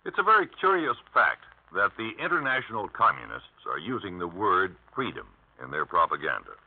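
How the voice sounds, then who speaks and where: Deep-voiced man, vintage radio address. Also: very animated, fairly quick, husky. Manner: formal, oratorical. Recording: vintage radio broadcast, muffled.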